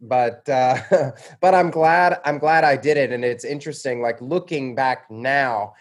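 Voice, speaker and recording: A man's voice: medium pitch, a little slow. An American man speaking as an interview guest, telling personal stories in a reflective, self-deprecating conversational way. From suburban Atlanta, Georgia, United States.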